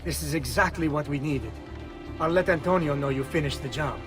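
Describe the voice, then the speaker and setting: A man as brisk thief. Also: a little high, music in the background, natural voice. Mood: instructive. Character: brisk, streetwise Italian thief giving orders. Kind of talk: video game cutscene acting.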